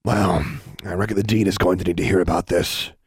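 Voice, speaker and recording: A man voicing a folksy, calm school custodian in a storybook reading. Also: deep voice, fairly quick, creaky, breathy edge, no room sound, clean studio sound, exaggerated voice.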